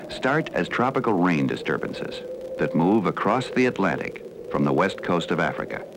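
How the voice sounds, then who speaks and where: An American man reading scripted educational film narration in a measured, formal, serious manner. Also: sits low, background noise. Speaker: documentary narrator.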